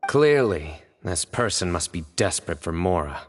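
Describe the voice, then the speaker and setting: A composed young male investigator speaks in a deep voice. He is serious, deliberate and deadpan, with formal game-cutscene delivery in a long reverb over some noise. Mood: businesslike. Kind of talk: video game voice acting.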